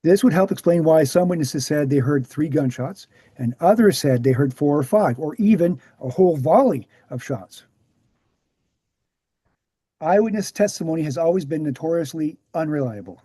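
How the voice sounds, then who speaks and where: A man playing an earnest, weary veteran researcher explaining things in a measured way at a screenplay table read. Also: on the high side, animated, mellow, slightly rough, no room sound. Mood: explanatory.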